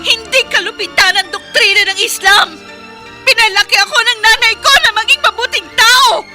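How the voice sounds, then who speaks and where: An actress playing a young woman shouting in tearful indignation as she defends her faith. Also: extremely high pitch, normal speed, loud music behind the voice. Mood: indignant, tearful. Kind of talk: radio drama acting.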